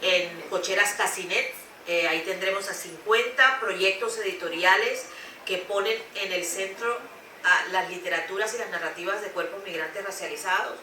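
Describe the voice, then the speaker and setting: A woman's voice: medium pitch, normal speed, sharp tone. A woman journalist and cultural organizer upbeatly runs through a list of upcoming plans. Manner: upbeat, rattles off plans in lists. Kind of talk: panel conversation. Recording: echoey room, distant mic, faint noise.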